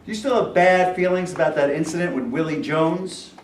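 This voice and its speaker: A man's voice: fairly high pitch, a little fast, lightly acted. A man playing an inquisitive sports reporter with short, pointed questions, in a staged reading recorded from far off in an echoey, slightly noisy room. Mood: probing. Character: inquisitive, needling sports reporter at a press conference. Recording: distant echoey room, faint noise.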